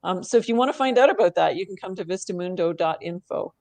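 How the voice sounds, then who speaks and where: A woman's voice: fairly low pitch, lively intonation, fast talker, no room sound. A woman, a podcast guest, talking in a casual, thoughtful way and laughing often. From Canada. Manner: warm, thoughtful, laughs often, frequent restarts. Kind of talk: casual interview chat.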